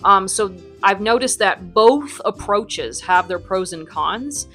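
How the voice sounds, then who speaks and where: A woman's voice: medium pitch, lively intonation, takes it slowly, a bit bright, faint music behind. A young adult woman from the United States, a Spanish teacher who runs her academy, answering interview questions in a chatty way full of ums and likes.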